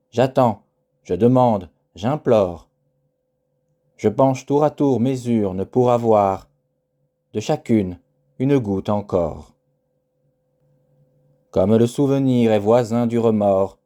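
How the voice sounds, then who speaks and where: Slow, very dark-voiced man reciting poetry. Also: lowish voice. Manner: solemn, measured, with deliberate pauses. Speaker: poetry reader.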